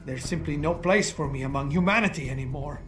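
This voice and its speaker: An actor playing a guilt-ridden, bitter and proud disgraced activist in a video game, speaking formally and eloquently with raw emotion. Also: male voice, on the high side, a little fast, soft background music, natural voice. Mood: remorseful, despairing. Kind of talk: dramatic video game voice acting.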